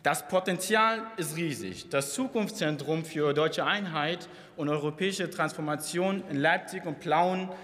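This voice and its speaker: A man's voice: a little high, a little slow, hardly any pauses, fairly bright tone. A young man from eastern Germany giving a combative, impassioned parliamentary speech at a lectern microphone. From Saxony, eastern Germany. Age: young adult. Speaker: member of parliament. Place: large parliament chamber. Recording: reverberant chamber with audience murmur.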